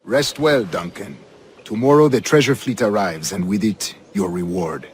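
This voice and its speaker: Man playing a solemn, deep-voiced Spanish grandmaster. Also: a little hiss or hum, natural voice. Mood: grandly confident. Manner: measured, formal, grandiose oratory. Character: authoritative scheming Templar grandmaster and colonial governor, solemn older man. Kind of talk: dramatic video game acting.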